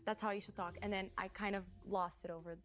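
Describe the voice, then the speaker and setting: A young woman from Brazil talks quickly as a game show contestant. She sounds chatty and eager, her voice sitting at an ordinary pitch. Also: steady tone. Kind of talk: casual panel chat.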